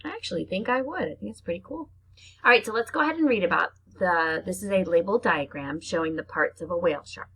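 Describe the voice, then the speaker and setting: Female primary teacher, children's read-aloud lesson, raspy with some vocal fry, simple child-directed delivery. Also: on the low side, animated, fairly bright tone, poor audio. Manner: simple, child-directed explaining, slow deliberate reading alternating with chatty asides. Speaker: elementary teacher reading to pupils.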